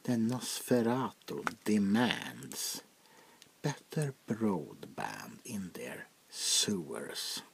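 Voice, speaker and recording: An aristocratic male vampire prince, played by a man speaks softly for an ASMR roleplay. His low, creaky voice swings expressively and often sinks to a whisper. He is languid, jaded and mockingly polite. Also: very animated, very slow, noisy background, heavily acted. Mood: weary. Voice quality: gravelly, often dropping to a whisper. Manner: languid, world-weary, mockingly courteous. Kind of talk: soft-spoken ASMR roleplay.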